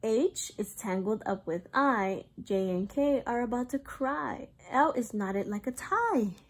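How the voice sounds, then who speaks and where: A woman's voice: fairly high pitch, lively intonation, takes it slowly, husky. A young woman, a preschool teacher, reads a picture book aloud in a sing-song way for young children. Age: young adult.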